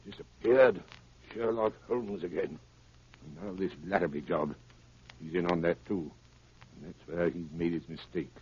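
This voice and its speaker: An actor playing a cold, calculating criminal mastermind, deliberate and ominous, in 1930s radio style. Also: male voice, low voice, darkish tone. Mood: cold menace. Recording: dull, hissy 1930s radio broadcast.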